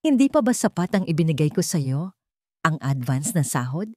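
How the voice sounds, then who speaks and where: A woman's voice: deep voice, sing-song, normal speed, dark tone, no room sound. A cold, bitter billionaire man, voiced by a woman narrator in a romantic audio drama. He accuses with tight control. The sound is dry and close. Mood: accusing, angry.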